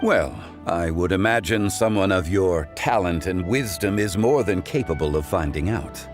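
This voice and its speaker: Casting: dignified, measured scholar, low and melodic. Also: male voice, lively intonation, music in the background. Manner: measured, formal, courteous. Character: calm, dignified, learned man. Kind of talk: game voice acting.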